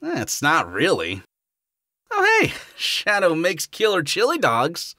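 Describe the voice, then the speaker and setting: A man plays an eager, friendly young male hedgehog in a fan audio drama. His voice is fairly high and lively, and his manner is upbeat. It is recorded dry and close. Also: takes it slowly, dry recording, full character voice. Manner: easygoing, upbeat.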